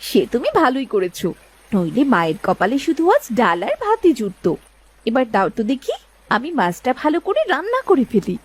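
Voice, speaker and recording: A woman voicing a practical, caring young village wife in a cartoon, with expressive, exclaiming delivery. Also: fairly high pitch, a little fast. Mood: content. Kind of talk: cartoon dialogue.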